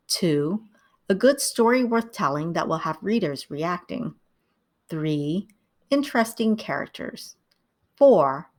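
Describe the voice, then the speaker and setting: Middle-aged woman, lowish voice, calm and measured tutorial narration. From Vietnam, raised in the United States. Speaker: writing tutor. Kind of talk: scripted instructional narration.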